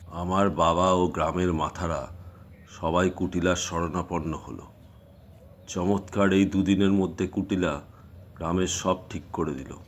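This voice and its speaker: A man acting a grave, guarded middle-aged village father in an audio drama, speaking in a measured, cautionary way. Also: low voice, a bit bright.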